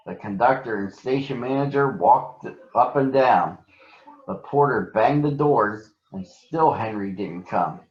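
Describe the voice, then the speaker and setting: A man narrating a children's story to young listeners as a storybook reader. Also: fairly low pitch. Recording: roomy echo, dull sound.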